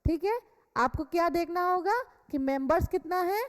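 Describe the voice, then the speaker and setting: A woman's voice: extremely high pitch, normal speed. An Indian woman teacher lecturing a class in an instructional way, repeating key points and checking that students follow. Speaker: teacher of a company law class. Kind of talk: classroom lecture mixing Hindi and English. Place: classroom with students also attending online. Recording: echoey hall-like room reverb.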